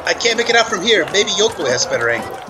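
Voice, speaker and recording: A man's voice: sits high, very animated, very fast. A man playing an earnest war correspondent reporting in a mock live news radio play. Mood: urgent. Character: earnest male embedded war correspondent in an army camp. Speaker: guest host. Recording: loud wind and outdoor noise.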